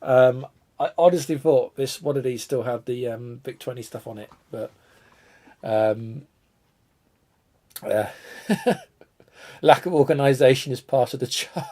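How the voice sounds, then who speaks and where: A man hosts a live stream, still a bit congested and coughing from a cold. He rambles and thinks out loud while he tinkers, poking fun at his own disorganisation, and his voice now and then drifts back from the mic. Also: medium pitch, takes it slowly, long pauses. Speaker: retro computer channel host. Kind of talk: casual live stream chat.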